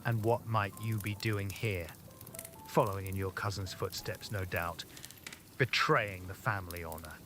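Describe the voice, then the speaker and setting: Bitter, sharp-voiced old servant creature. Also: male voice, low voice, fairly even intonation, normal speed, faint music behind. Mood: bitter scorn. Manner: muttering, servile, disdainful. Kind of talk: audio drama acting.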